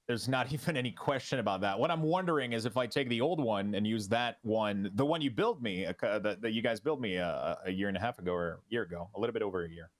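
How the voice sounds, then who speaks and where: French Canadian streamer with an easy, halting manner. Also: male voice, on the low side. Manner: relaxed, halting, many restarts and fillers. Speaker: streamer guest. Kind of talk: casual interview chat.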